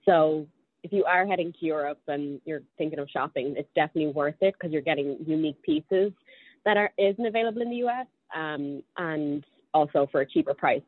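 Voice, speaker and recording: A young woman with a Dublin Irish accent talks casually about her career, pausing often with ums and restarting her sentences. Her voice sits low, and it comes through a tinny, phone-like call. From Ireland. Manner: hesitant, many ums and restarts. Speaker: podcast guest. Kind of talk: casual interview chat.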